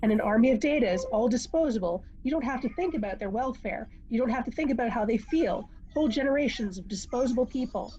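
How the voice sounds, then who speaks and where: A woman reading the part of a calm, wise confidante in a table read, measured, unhurried and gently pointed. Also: fairly high pitch, background noise, lightly acted. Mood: quietly pointed. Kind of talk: amateur script table read. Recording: dull, muffled lo-fi microphone sound.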